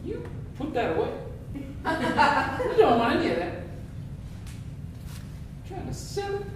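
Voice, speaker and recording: A woman's voice: high-pitched, wildly swooping pitch, slow pace, exaggerated voice. A woman playing a fussy elderly woman with a prim, scolding manner. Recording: echoey room, far from mic, noisy.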